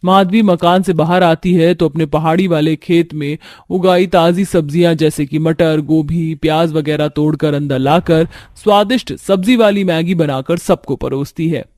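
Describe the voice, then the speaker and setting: A man's voice: fairly high pitch, fairly even intonation, normal speed, few pauses, darkish tone, no room sound. A man reading scripted narration for a moral story, in the role of storyteller.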